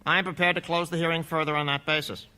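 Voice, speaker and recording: A man's voice: on the high side, level tone, pushed and bright, clear, resonant tone. An actor playing a firm, patient male judge who runs a courtroom hearing with authority. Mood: stern. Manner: authoritative, deliberate, emphatic. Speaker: judge presiding over a hearing.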